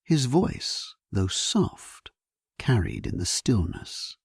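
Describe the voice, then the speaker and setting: Sleep-story narrator: male actor as resolute, solemn king, low and breathy, unhurried, very dry close sound. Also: sing-song, no room sound, exaggerated voice. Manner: solemn, measured, dramatic delivery. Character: grave, resolute English king at war, narrating his memoir. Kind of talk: scripted first-person sleep-story narration.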